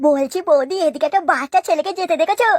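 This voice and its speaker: A man plays a sly young male labourer in a comic cartoon. He is crude and lecherous, talking in a wheedling way. The track has clear background noise. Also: very high voice, quick pace. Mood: lustful, wheedling.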